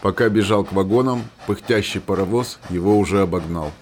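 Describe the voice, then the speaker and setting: Low-voiced male story narrator. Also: fairly quick, slight background noise. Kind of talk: scripted narration of a war story.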